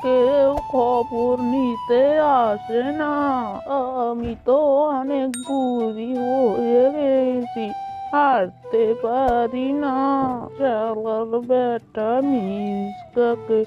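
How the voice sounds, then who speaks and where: A weary, bitter old cartoon woman played by a woman complains very slowly in a raised voice. She sounds aggrieved and neglected. The sound is dull, with music playing behind her. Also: a little high, fairly even intonation. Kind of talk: slow cartoon lament.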